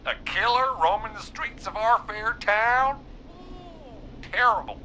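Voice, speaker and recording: A man's voice: sits high, slow pace, bright, forceful tone, a little hiss or hum, heavily acted, low-quality recording. A man playing a shamelessly self-promoting pizza shop owner in a video game, theatrical and falsely modest. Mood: mock horror.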